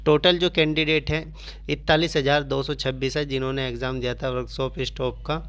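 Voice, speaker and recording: A man speaks as a coaching channel host giving students an update. His mid-range voice stays very even and flat in pitch. He explains point by point, repeating key phrases and mixing in English terms. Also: a little fast. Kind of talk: informational exam update addressed to students.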